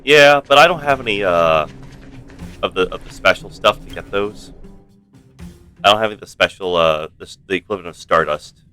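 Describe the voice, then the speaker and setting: A man doing casual live gameplay commentary as a streamer, thinking aloud in a halting way and swearing now and then. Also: deep voice, lively intonation, bright, forceful tone, soft background music. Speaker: game streamer.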